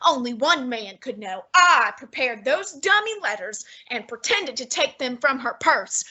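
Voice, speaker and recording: Girl doing a pompous genius detective guy, high bright slightly breathy voice, talks all precise and lofty. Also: very animated, heavily acted. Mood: deducing. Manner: precise, lofty, measured diction. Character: portly, pompous male genius detective, unflappable. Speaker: student actor. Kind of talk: student radio drama.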